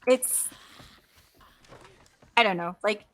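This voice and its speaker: A young adult woman chatting casually as an interview guest, informal and halting, peppering her speech with filler "like". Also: mid-range voice, a bit bright. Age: millennial, young adult. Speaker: interview guest, voice actress and gamer. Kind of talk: casual chat.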